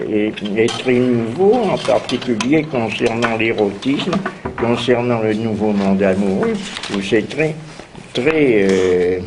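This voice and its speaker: An older man with a heavy head cold hosts a university seminar in a slow, hesitant way, full of pauses and restarts. His voice sits at an ordinary pitch, and faint background noise hangs in the room. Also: darkish tone. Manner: halting, many fillers and restarts. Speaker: seminar host. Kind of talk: academic seminar introduction.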